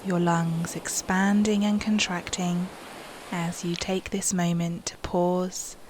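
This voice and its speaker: A woman softly leading a guided meditation in a soothing, unhurried manner, with breathy phrase endings and long pauses. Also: lowish voice, little pitch movement, slight background noise, low-quality recording.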